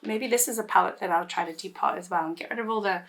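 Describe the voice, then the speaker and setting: Hoarse woman chatting through her makeup. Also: medium pitch, fast, a bit bright. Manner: rambling, self-deprecating, many fillers and restarts. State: recovering from lost voice, hoarse. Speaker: beauty vlogger. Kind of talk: casual get-ready-with-me chat.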